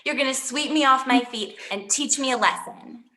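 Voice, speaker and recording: A woman's voice: sits high, moderate pace, close to an everyday voice. A woman playing an indignant, sarcastic young girlfriend in a comedy table read, recorded in an echoey room. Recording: echoey room, faint noise.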